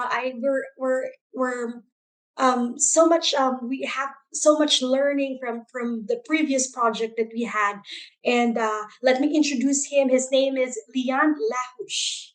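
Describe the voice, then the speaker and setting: A woman's voice: high-pitched, low-quality recording. A woman hosting an online interview, introducing her guest with many fillers and restarts. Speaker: interview host.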